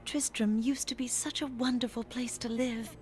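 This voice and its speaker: Woman playing a mournful high-voiced townswoman. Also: steady tone, normal speed, close to an everyday voice. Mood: mournful, fearful. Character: sorrowful, fearful townswoman. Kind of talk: fantasy game character acting. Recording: music behind the voice.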